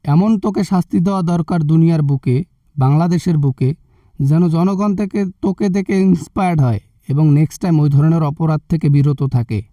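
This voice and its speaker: A man's voice: on the high side, fairly quick, dark tone, dry recording, far from the mic, close to an everyday voice. A man with a Bangladeshi accent playing a stern religious party leader in a comic phone skit, scolding and preaching threats. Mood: threatening.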